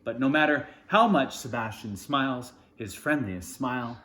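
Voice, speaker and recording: A man's voice: mid-range voice, moderate pace, a bit bright, reverberant. A man reading a children's storybook aloud, expressively, in short phrases with informal asides. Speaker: storybook reader.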